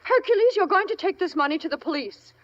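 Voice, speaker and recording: A stern wife in an old radio drama, scolding in a very high voice that swoops with emotion. The vintage recording is dull. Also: female voice, animated, quick pace. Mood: indignant. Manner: sharp, scolding. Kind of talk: 1940s radio drama acting.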